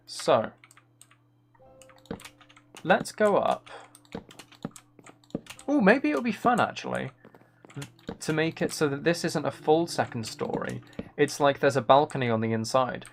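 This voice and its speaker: A man's voice: on the high side, lively intonation, a little slow, creaky, pauses often, a little hiss or hum. A man chatting casually on a live game stream, musing and thinking aloud as he plays. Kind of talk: casual live stream chat while playing.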